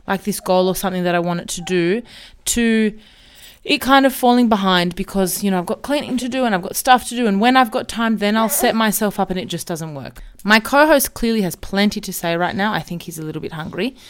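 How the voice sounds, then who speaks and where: A woman in her thirties hosts her own podcast alone, musing out loud in a candid, chatty way. Her voice is smooth and resonant, sitting at an ordinary middle pitch. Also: fairly quick. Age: 30s. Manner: candid, chatty, self-reflective. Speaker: podcast host. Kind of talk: solo podcast monologue.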